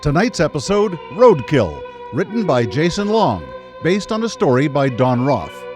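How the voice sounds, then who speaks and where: An actor playing an ominous, theatrical anthology narrator, with scripted, suspenseful radio announcing. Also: male voice, on the high side, big pitch swings, a little slow, over music. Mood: ominous, dramatic. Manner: dramatic, suspenseful.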